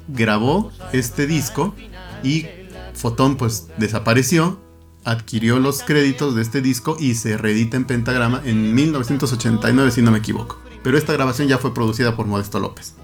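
A man co-hosting a podcast, presenting songs and explaining their background in a measured, informative way. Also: mid-range voice. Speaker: podcast co-host. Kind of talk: radio-style podcast hosting.

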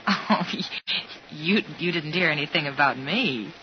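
A woman's voice: low-pitched, measured pace. An actress playing a chatty, prim, homespun young woman in a 1950s radio drama, gushing and easily flustered. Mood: nervous, demure. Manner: gushing, flustered, old-fashioned exclamations. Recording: muffled, noisy 1950s radio recording.

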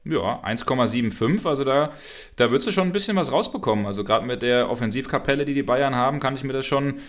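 A man's voice: medium pitch, lively intonation. A man from Hesse with a slight Hessian accent, co-hosting a football podcast and chatting about betting odds with plenty of fillers. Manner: chatty, quick, many fillers. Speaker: podcast co-host. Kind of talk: casual football betting chat.

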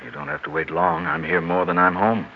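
Low-voiced, persuasive cheating husband. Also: male voice, very animated, fairly quick, gravelly, full character voice. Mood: pleading, tender. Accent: American. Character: unfaithful husband, weary, persuasive, coldly scheming. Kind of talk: 1960s radio drama acting. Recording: muffled, hissy 1960s radio recording.

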